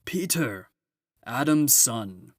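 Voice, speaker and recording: A man voicing a big, solemn, kindly old gift-giver in a storybook, with slow, booming, grand proclamations. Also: animated, dry recording, studio quality.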